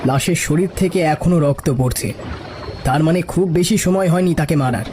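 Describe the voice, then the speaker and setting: A man's voice: a little high, brisk, a little mellow, a little hiss or hum, pitched above the performer's own voice, lightly acted. A man playing a stubborn, commanding police officer who gives curt orders in a cartoon story. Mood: stern resolve.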